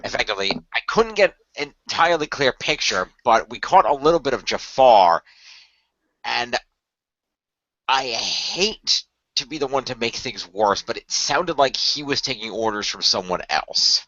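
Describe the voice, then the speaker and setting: A man playing an earnest tech expert in an amateur radio play, explaining things in a wordy, halting, technical way. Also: mid-range voice, expressive, bright, forceful tone, no room sound. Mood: concerned, explanatory. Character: earnest male tech expert of a hero team, wordy planner. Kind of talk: amateur radio play, improvised-sounding dialogue. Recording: dull lo-fi voice recording.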